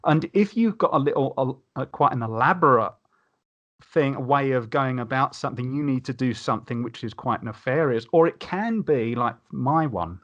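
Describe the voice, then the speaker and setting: Male podcast guest, mid-pitched, casual and rambling storyteller. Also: animated, normal speed, lo-fi sound. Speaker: podcast guest reviewing a tabletop game. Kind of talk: casual chat, recounting game anecdotes.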